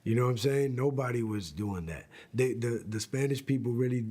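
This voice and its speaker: A man's voice: mid-range voice, fairly quick, a little hiss or hum. A man from Rhode Island who was in a rap group, speaking animatedly in a documentary interview. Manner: animated, conversational.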